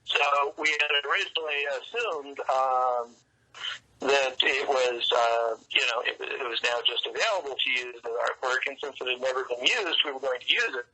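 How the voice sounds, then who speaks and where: A man speaking as an interview guest over a phone-like line, explaining things in a halting, pause-filled way. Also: mid-range voice, sharp tone, breathy edge. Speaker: interview guest, comics writer and news site publisher. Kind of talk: interview conversation.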